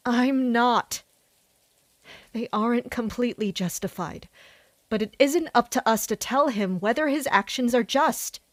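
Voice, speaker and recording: Woman acting as loyal no-nonsense captain lady in an audio drama, measured and scolding, kinda high voice, dry sound. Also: dry recording, natural voice. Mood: firm, pragmatic. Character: loyal, pragmatic woman captain serving a king.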